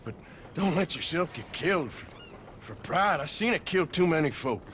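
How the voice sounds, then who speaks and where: An actor playing a weary, remorseful outlaw in a video game, with a rough American drawl and blunt, earnest delivery. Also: male voice, a little high, animated, normal speed, fairly bright tone, a little hiss or hum. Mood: remorseful, pleading. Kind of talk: video game cinematic acting.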